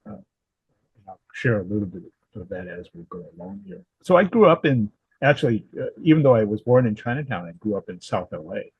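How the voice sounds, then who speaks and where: A man in his seventies from Southern California, answering interview questions in a thoughtful, halting way with many fillers and restarts. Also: lowish voice, sing-song, gravelly, breathy edge, no echo, muffled recording. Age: 70s. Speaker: guest, historical society president.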